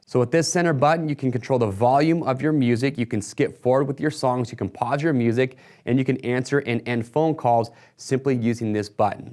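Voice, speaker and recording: A man's voice: medium pitch, a little mellow. A man presenting a retail product walkthrough in a casual, explanatory sales manner.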